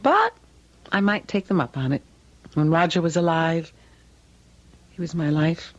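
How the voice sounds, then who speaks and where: An actress playing an anxious, wistful widow in an old-time radio drama, with a hesitant, emotive delivery. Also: female voice, on the low side, a little slow. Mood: wistful, troubled. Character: anxious grieving widow, wistful and stubbornly convinced. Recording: muffled vintage radio recording, music.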